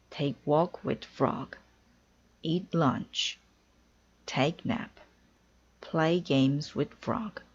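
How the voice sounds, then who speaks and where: A woman's voice: low voice, lo-fi sound, full character voice. A woman voicing an anxious, stubborn male toad from a children's storybook, plaintive and emphatic.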